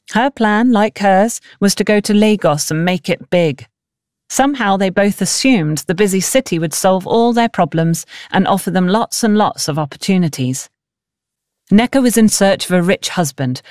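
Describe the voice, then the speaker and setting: A woman's voice: lowish voice, darkish tone, no room sound, studio quality. A woman reading a novel aloud as scripted audiobook narration.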